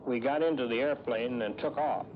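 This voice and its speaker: A man's voice: mid-range voice, moderate pace, a little hiss or hum. A man recalling his service as an airman in an interview. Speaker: veteran airman giving testimony. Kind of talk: recollection in interview. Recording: muffled archive interview.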